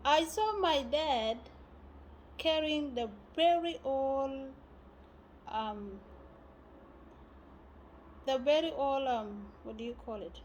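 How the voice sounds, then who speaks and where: Slow, high-voiced spiritual teacher woman. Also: slight background noise, rough recording. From South Sudan. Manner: halting, searching for words with many fillers.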